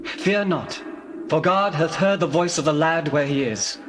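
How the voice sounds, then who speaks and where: A man's voice: a little high, measured pace, background noise, close to an everyday voice. A man playing an angel, a comforting heavenly messenger, in a dramatized scripture reading. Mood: reassuring. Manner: reassuring, proclaiming.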